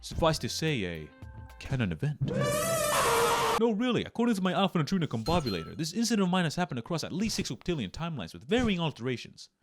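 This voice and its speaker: Male YouTube narrator: comedic, mock-serious, very animated mid-range voice, music underneath. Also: on the high side, fast talker. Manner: melodramatic, mock-serious, with sudden emphatic bursts. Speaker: YouTube video essayist and host.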